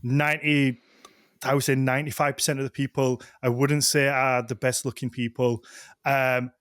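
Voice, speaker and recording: A man's voice: medium pitch, level tone, normal speed, a bit bright, clear, resonant tone, dry recording, studio quality. A man co-hosting a podcast, chatting casually in a rambling way full of filler words and acted-out quotes. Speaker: podcast co-host. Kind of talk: casual chat.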